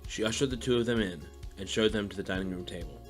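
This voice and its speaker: A man narrates a fiction audiobook in a fairly low voice. He reads scripted prose, and music plays clearly behind him. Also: fast talker.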